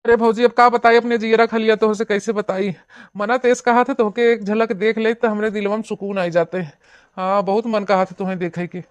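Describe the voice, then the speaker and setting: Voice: mid-range voice, flat delivery, normal speed, smooth, no room sound, muffled recording, natural voice. An actor playing a lovesick, pleading young village brother-in-law in a comedy cartoon, gushing and wheedling in an Awadhi accent. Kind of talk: cartoon comedy acting in rural dialect.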